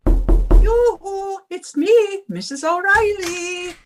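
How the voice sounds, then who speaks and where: Cheery middle-aged landlady, very shrill pitch, slow sing-song, fussy affection, 1950s radio comedy. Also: music in the background, heavily acted.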